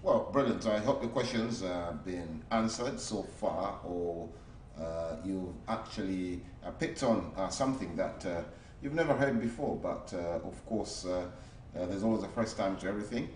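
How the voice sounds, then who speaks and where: Brief: male call-in show host, halting and filler-heavy, heard from a distance in a roomy studio with faint hiss. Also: animated, darkish tone. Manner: hesitant, many filler "uh"s, then booming greetings. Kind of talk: live call-in TV program.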